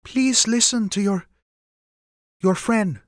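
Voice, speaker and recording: Man voicing an anxious, high-pitched middle-aged mother. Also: slow, no echo, clean studio sound. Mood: hopeful, tentative. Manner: formal, careful phrasing, hesitant. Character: middle-aged mother, anxious, regretful, tearful, gently pleading. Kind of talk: audiobook character dialogue.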